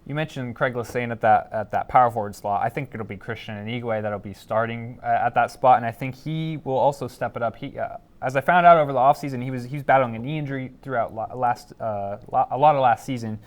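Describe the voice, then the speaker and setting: A man's voice: mid-range voice, fast, few pauses. A man giving opinions as a panelist in a casual sports discussion, often repeating words as he goes.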